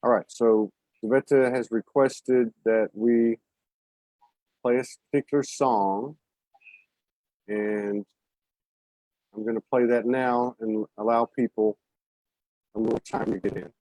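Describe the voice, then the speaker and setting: Man, show host, friendly, informal, mid pitch, dry recording. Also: fairly even intonation, slow pace, long pauses, dark tone, no echo, low-quality recording. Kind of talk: live-stream show introduction. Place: Hawaii.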